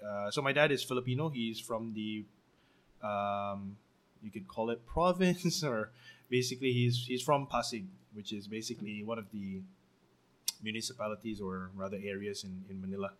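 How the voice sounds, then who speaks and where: A man's voice: lowish voice, moderate pace. Young guy w singaporean accent, easygoing interview chat, lots of ums and little laughs. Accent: Singaporean English. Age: 20s. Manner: relaxed, laughs often, many fillers. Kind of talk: casual conversational storytelling.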